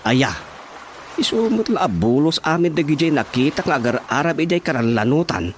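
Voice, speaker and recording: A man's voice: a little high, animated, a little fast, slight background noise, natural voice. A man acting a chatty village councilman in a comic radio drama, with an Ilocano accent. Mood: chatty, genial. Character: chatty village councilman talking shop with watchman.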